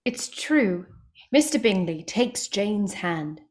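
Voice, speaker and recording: A woman's voice: mid-range voice, big pitch swings, slow, a little mellow. A woman reading stage directions as scripted dramatic narration.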